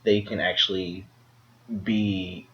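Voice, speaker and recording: A man's voice: sits low, little pitch movement, slow pace, slight background noise. Young guy chatting on a podcast, kinda nasal, says like a lot, muffled lo-fi sound. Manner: rambling, repeats words, many fillers. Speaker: podcast co-host. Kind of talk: casual chat between friends. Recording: dull lo-fi home recording.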